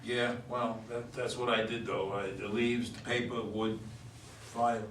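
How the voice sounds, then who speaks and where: A man in a stage play reading, playing a flustered, evasive homeless man with a halting, stammering delivery. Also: medium pitch, little pitch movement, a bit bright, natural voice. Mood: hesitant, evasive. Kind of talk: stage play read aloud by readers' theater. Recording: echoey room, distant mic, faint noise.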